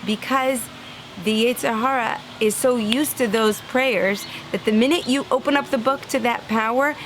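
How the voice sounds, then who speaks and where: A woman's voice: a little high, moderate pace, a little hiss or hum, rough recording. Earnest woman giving a spiritual talk. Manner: earnest, animated, acts out inner voices; laughs lightly now and then. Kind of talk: inspirational religious talk.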